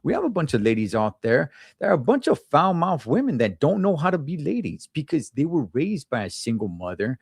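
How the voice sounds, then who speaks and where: A man's voice: a little high, very animated, a little fast, vocal fry, hardly any pauses, slightly rough, dry recording, studio quality. A man giving emphatic, opinionated views in a casual panel chat, often repeating words as he goes.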